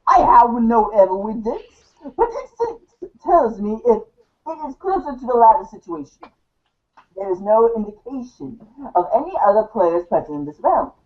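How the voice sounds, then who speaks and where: A woman voicing a verbose, coolly sardonic teenage girl in a stilted, halting reading of a webcomic script. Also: fairly high pitch, lively intonation, natural voice. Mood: pompous, musing. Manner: stilted, wordy, halting over long words. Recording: dull lo-fi home recording.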